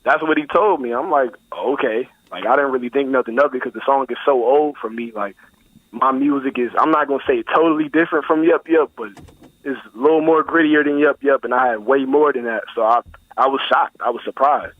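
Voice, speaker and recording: A man's voice: medium pitch, brisk, dry recording. A nineteen-year-old man from Buffalo, New York, a rap artist talking about his music in a laid-back, slangy way as a guest on a phone interview. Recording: tinny phone line, dry.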